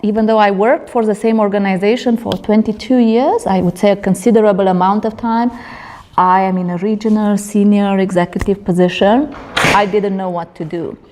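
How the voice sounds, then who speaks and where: A woman's voice: medium pitch, a little mellow. A middle-aged woman from Romania presenting her doctoral research at a conference, speaking earnestly with frequent fillers and restarts. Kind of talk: academic conference talk. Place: conference room.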